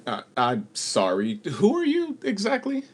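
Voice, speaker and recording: A man's voice: high voice, huge pitch swings, measured pace, husky, full character voice. An actor playing an earnest, plucky young male understudy in a radio play. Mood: polite, wary.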